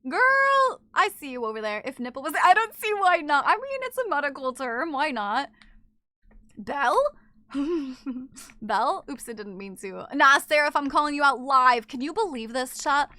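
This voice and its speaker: A high-pitched woman streams live and talks to her chat, animated and teasing, full of exclamations. Her voice is bright and sharp. The sound is dry and close, with no room echo. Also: normal speed. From not from Japan.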